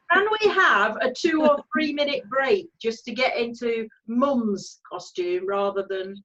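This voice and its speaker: Woman, animated and laughing, high and lively voice, casual video call chat, dull lo-fi sound. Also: takes it slowly. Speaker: cast member in a read-through.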